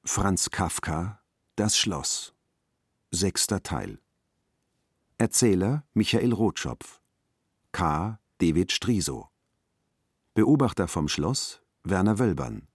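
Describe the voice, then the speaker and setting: A man announces radio play credits formally. His voice is deep and unhurried, in a close, dry recording. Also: very animated, breathy edge, dry recording.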